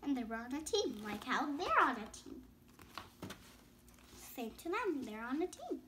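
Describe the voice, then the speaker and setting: High-pitched young girl reading a storybook. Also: sing-song, unhurried, heavy background noise. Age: child. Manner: stumbles over words and rereads phrases. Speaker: host reading a bedtime story. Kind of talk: read-aloud storybook narration with casual asides.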